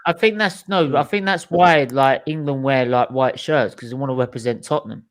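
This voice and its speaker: A man's voice: medium pitch, a little fast. A man hosting a football watchalong as a fan, bantering casually in a jokey, chuckling way.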